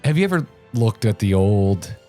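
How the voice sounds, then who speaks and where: A man's voice: fairly low pitch, very animated, normal speed, mellow. A man co-hosting a casual gaming reaction show, talking in an enthusiastic, slangy way. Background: music behind the voice.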